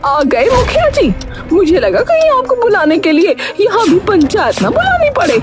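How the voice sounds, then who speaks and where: A woman's voice: extremely high pitch, huge pitch swings, normal speed, faint music behind, voice pushed up, exaggerated voice. A woman voicing a furious, nagging, sarcastic village wife in a cartoon story. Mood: angry, sarcastic. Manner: sarcastic, scolding. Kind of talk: Hindi cartoon story acting.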